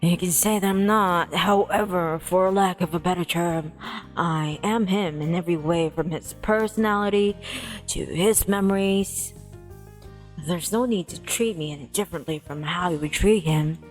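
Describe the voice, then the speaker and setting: A woman's voice: fairly low pitch, normal speed, lightly acted. A woman voicing a playful, nonchalant male spirit in a visual novel, with a mock-innocent manner. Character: playful teasing male spirit in borrowed body. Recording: music playing behind the voice.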